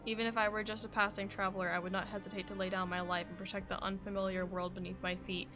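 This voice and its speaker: A woman's voice: mid-range voice, little pitch movement, fast, close to an everyday voice. A woman voicing a determined young heroine. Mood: resolute. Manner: resolute and declarative. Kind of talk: video game voice acting. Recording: game audio under music.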